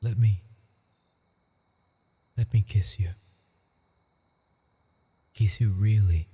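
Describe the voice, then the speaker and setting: A man playing a lonely, tender ghost lover in a romantic ASMR roleplay, speaking haltingly and intimately in a breathy, husky voice. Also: lowish voice, steady tone, warm tone, exaggerated voice. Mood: intimate, devoted. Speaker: audio roleplay performer. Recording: long reverb with music behind.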